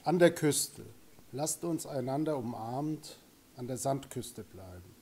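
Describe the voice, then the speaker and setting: A man recites a poem very slowly in a dark, mellow voice. It has a gravelly creak, and there is light noise around him. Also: mid-range voice, animated. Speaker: poetry reader. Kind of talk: poetry recitation. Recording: light background noise, room ambience.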